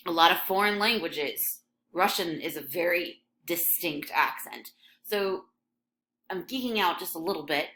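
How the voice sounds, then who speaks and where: A woman's voice: medium pitch, bright, forceful tone, slight background noise. A young woman from Michigan with a slight Michigan accent, teaching an English lesson in a casual, chatty way full of ums and likes. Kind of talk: recorded classroom lesson over a scrolling text.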